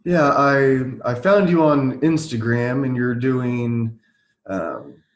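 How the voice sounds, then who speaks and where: A man hosting a podcast interview, asking questions in a halting, casual way with lots of fillers. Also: mid-range voice, lively intonation, roomy sound. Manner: halting, many fillers and restarts. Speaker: podcast host.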